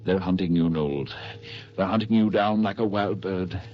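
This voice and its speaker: A man's voice: sits low, lightly acted. Actor as haunted man, muffled old radio drama. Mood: ominous, haunted. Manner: tense, halting, anguished. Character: haunted, fearful man with a broken mind. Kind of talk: 1940s radio drama acting. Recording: dull 1940s radio recording with music.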